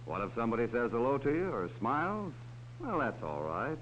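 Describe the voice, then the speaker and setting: Male narrator for a 1940s kids' safety film, lively and patient, dull hissy sound. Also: mid-range voice, fairly quick. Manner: talks down to children. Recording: dull old film soundtrack with faint hiss.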